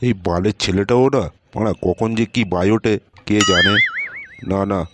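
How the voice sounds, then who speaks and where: A man's voice: on the low side, moderate pace, lightly acted. A man voicing an irritable, foul-mouthed village father in a comedy cartoon, scolding in exasperation. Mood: angry grumbling. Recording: loud background noise.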